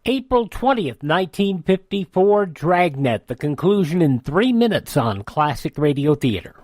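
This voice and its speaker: A man's voice: a little high, big pitch swings, normal speed, a little mellow, no echo, clean studio sound. An American man reading scripted news copy as a radio host.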